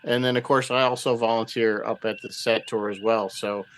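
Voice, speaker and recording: A man's voice: fairly low pitch, level tone, normal speed, a bit bright, a little hiss or hum. A man chatting casually as a podcaster guest, in a loose, offhand way. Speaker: podcast creator and host, guest. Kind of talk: casual chat.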